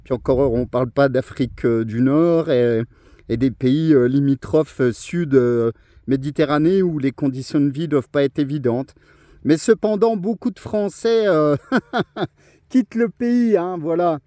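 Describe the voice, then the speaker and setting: A man's voice: a little high, a little slow, warm tone, no echo. A man chatting on amateur radio, talkative and hesitant, with many fillers. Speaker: ham radio operator. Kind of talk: casual radio chat.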